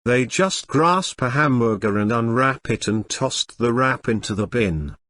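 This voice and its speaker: A man giving a measured, formal read-aloud of scripted text as an exam practice model. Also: mid-range voice, lively intonation, a bit bright, dry recording, studio quality.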